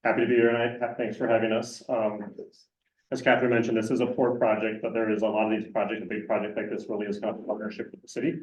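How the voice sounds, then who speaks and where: A man working as a city traffic engineer, briskly introducing his colleagues at a public meeting, with many ums. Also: on the low side, little pitch movement, a little mellow. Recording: dull, echoey room sound.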